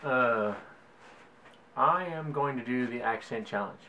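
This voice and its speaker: A man's voice: mid-range voice, a little slow, sharp tone, slight background noise. A young man from South Louisiana with a Cajun accent, rambling casually through a word list in a vlog, with lots of "like" and "um". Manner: rambling, hedging with "like" and "um". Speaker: vlogger.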